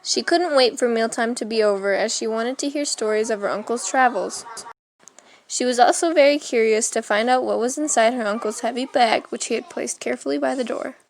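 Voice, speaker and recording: A woman with a slightly high-pitched voice narrates a children's storybook. She reads it aloud as scripted prose, and faint noise sits in the recording. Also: fairly quick, vocal fry. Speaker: storybook reader.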